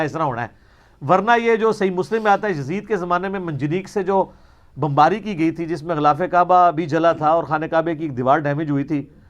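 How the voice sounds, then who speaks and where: A man's voice: sits high, muffled recording. A man with a Pakistani Punjabi accent answering religious questions in an informal lecture, arguing emphatically with rhetorical questions and slipping into Punjabi.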